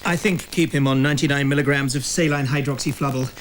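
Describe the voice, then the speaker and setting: An actor playing a smooth, self-assured hospital doctor in a comedy sketch. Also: male voice, mid-range voice, steady tone, quick pace, a little hiss or hum, natural voice. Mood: breezy. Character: smooth-talking, flirtatious hospital doctor on his rounds.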